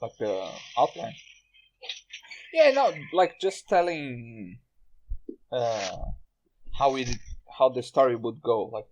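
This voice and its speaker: A man hosting a casual group podcast chat, speaking haltingly and repeating his words. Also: mid-range voice, big pitch swings, darkish tone, muffled recording, slight background noise. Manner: halting, repeats words, often breaks into laughter. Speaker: podcast host. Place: remote group voice call.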